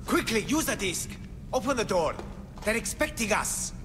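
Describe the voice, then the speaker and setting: High, swooping, grandiose fanatic. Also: male voice, very animated, moderate pace. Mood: ecstatic, fervent. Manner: theatrical, grandiose. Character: fanatical male devotee seeking eternity among the gods. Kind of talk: video game cutscene acting. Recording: long hall reverb under loud music.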